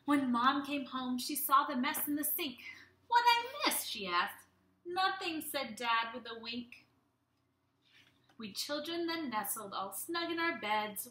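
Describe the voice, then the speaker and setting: A young woman teacher reading a storybook aloud to young children in an animated, expressive way, speaking to them directly. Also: high-pitched. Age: young adult. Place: small room at home. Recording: roomy, echoey home webcam sound.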